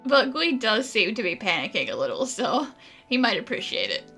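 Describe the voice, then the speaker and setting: A woman's voice: a little high, sing-song, moderate pace, bright, forceful tone, exaggerated voice. A woman voicing a wry, teasing companion in video game dialogue, with a sarcastic edge. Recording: game music behind the voice.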